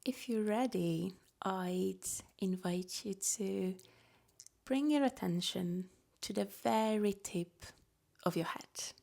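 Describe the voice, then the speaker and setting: A woman guiding a relaxation meditation as a yoga nidra teacher, soft-spoken and gentle, offering unhurried invitations. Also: mid-range voice, vocal fry.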